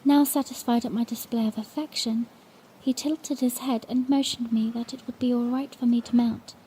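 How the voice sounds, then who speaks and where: A woman's voice: a little high, fairly even intonation, moderate pace, mellow, a little hiss or hum, lightly acted, rough recording. A woman playing a bashful young princess, telling her own story tenderly and earnestly in a fan-made audio drama. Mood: tender, wistful.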